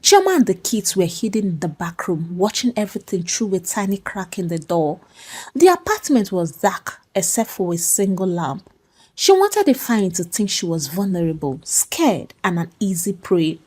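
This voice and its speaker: A woman's voice: medium pitch, big pitch swings, normal speed, darkish tone, slightly rough. A woman telling a story as a dramatic scripted narration.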